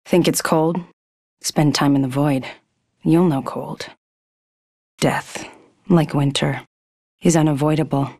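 A woman voicing a stoic, icy assassin who speaks in measured, ominous video game taunts. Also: low-pitched, fairly even intonation, darkish tone, no room sound, clean studio sound, full character voice. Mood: icy menace.

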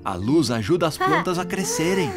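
Cartoon parent owl: lowish voice, animated intonation, patient, gently instructive, music underneath. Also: female voice, normal speed. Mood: patient, explaining. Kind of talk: children's cartoon acting, explanatory.

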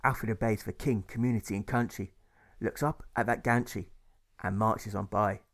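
A man's voice: fairly low pitch, fairly even intonation, normal speed. A man narrating his own rhyming novel, reading with a rhythmic lilt that stresses the rhymes.

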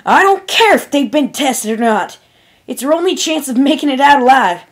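Woman as stubborn female farm pony, raised high voice, forceful, fiery, cartoon fan story. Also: moderate pace, natural voice. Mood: angry shouting. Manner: loud, forceful.

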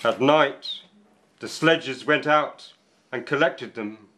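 A middle-aged man, a poet, giving a deliberate, solemn reading of his work in a small cafe. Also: medium pitch, fairly bright tone, a little hiss or hum. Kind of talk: dramatic poetry reading for two voices. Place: small indoor cafe with bookshelves.

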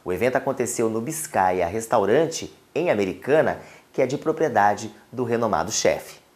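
A man's voice: fairly low pitch, expressive, normal speed. A male TV host reading a scripted voice-over for a lifestyle segment in a polished presenter manner. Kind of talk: scripted narration.

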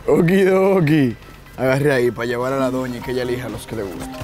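A man's voice: medium pitch, fast talker, darkish tone, faint music behind. A man, chatting playfully on a casual vlog, from a car and a home patio. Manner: jokey, playful, talks to camera. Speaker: vlogger host.

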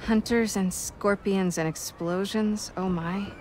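Woman acting young American female, wry, affectionate, mid-pitched, slow-paced, video game dialogue, music in background. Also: steady tone, close to an everyday voice. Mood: dejected. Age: young adult. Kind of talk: video game cutscene acting, casual banter.

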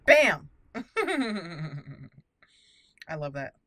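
A woman's voice: lowish voice, very animated, extremely slow, fairly bright tone, slight background noise. A woman hosting a playful, chatty game commentary, talking to the characters as she plays. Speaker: gaming channel host. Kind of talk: casual roleplay game commentary.

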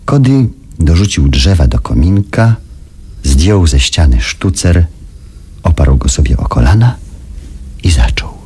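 An actor playing a calculating, ambitious businessman who tells his story in a measured, confiding way in a radio drama. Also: low voice, very animated, mellow, breathy edge, full character voice. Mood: measured, watchful. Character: Frank, calculating ambitious businessman recounting events in first person. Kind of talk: Polish radio drama narration.

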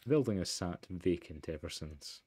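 A man's voice: low voice, lively intonation, normal speed, warm tone, slightly rough. A man reading scripted narration as a storybook narrator in a mystery game. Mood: measured. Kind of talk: scripted narration read aloud.

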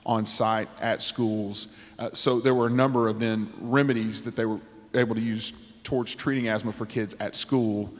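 A man on a discussion panel, speaking in a measured way with frequent ums, into a handheld mic on a theater stage. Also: lowish voice, fairly even intonation. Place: large theater stage with audience. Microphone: handheld microphone. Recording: long hall reverb from a theater.

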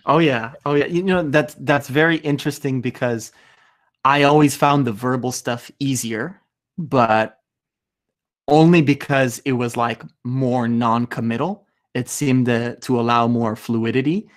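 Man hosting, thoughtful and halting. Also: medium pitch. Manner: thoughtful, halting with frequent restarts and fillers. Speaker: podcast host. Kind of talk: casual video-call interview. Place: small room at home. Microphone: studio mic with headphones.

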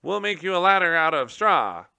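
A man voicing a small, excitable male gerbil who squeaks and prattles quickly. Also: gravelly, clear, resonant tone, no room sound, exaggerated voice. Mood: eager.

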